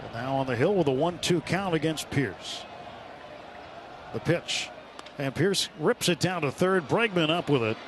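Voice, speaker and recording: A man calling baseball play-by-play live on the radio in a measured, descriptive way. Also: on the high side, lively intonation. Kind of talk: live sports commentary. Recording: clear stadium noise behind live broadcast. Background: outdoor ballpark ambience.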